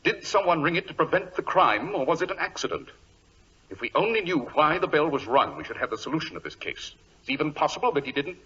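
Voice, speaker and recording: English gentleman detective, vintage radio mystery. Forceful, animated, self-assured, British accent, lo-fi period recording. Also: a little high, fast, a little hiss or hum. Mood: musing, keen. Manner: crisp, probing, self-assured. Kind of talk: 1940s radio drama acting. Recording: dull, lo-fi old radio recording.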